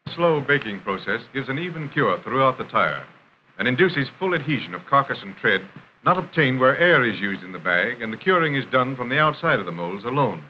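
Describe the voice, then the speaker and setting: A man's voice: mid-range voice, big pitch swings. Muffled 1930s American film narrator, male. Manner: formal, measured announcer delivery. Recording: dull, noisy 1930s film soundtrack.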